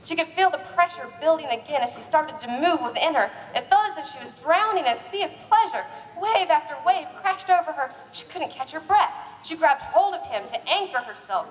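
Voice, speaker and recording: Female live narrator, high and playful, theatrical comic romance reading, picked up from a distance. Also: expressive, brisk, hardly any pauses. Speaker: narrator and presenter. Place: live stage at a bar party. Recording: echoey live hall, distant mic.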